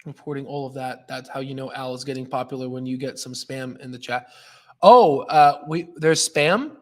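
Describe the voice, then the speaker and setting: A man hosting a live stock trading stream, talking casually and emphatically in an excitable, jokey way as he comments and answers chat. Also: mid-range voice, normal speed.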